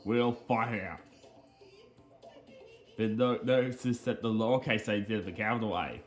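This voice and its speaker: A man giving rambling, casual commentary on video game cutscenes, speaking haltingly with repeated phrases and earnestly explaining small details. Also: fairly low pitch. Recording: game music behind the voice.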